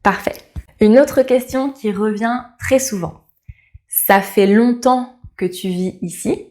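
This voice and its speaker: A woman's voice: medium pitch, darkish tone. Parisian-born woman teaching French phrases. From France. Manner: clear, slow repetition of model phrases. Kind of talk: language lesson to camera.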